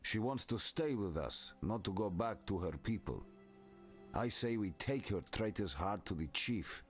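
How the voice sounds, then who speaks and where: A grim warrior of a tribe, speaks in a deep voice. His delivery is slow, terse and distrustful, with soft music underneath. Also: animated. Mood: grim, dismissive. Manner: terse, blunt. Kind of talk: video game character dialogue.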